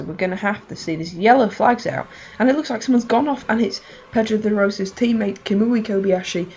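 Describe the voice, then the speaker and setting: A woman with a hoarse, fading voice giving rambling, excitable play-by-play of her racing game, full of fillers. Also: mid-range voice, muffled recording, slight background noise. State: hoarse, losing voice. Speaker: gaming channel host.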